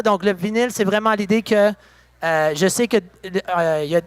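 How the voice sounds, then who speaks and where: Young male musician from Saskatchewan, high voice, easygoing and chatty. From Saskatchewan, Canada. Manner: relaxed, chatty, full of fillers. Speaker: musician guest. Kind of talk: casual interview. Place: backstage at a concert venue.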